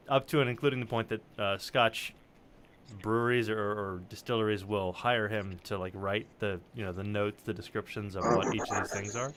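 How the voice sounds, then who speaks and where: A man's voice: fairly low pitch, a bit bright. Jokey man chatting over faint noise. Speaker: livestream show panelist. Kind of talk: casual chat, anecdotes.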